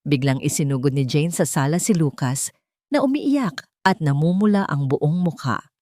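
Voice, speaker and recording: A woman narrates a romantic drama in a measured, emotive manner, her voice sitting a little low. The recording is close and dry, with no room echo around it. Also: expressive, mellow. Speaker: storyteller. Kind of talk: dramatic narration of a love story.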